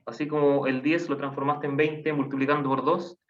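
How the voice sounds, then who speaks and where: A man's voice: mid-range voice, level tone, normal speed, fairly bright tone. A man teaching a math class online, patiently counting aloud and asking the class questions. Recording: dull lo-fi online class audio.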